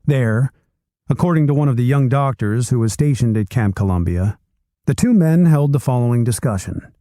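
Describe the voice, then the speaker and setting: Calm man reading a book aloud. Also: mid-range voice, moderate pace, dry recording, studio quality. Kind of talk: read-aloud narration of a nonfiction book.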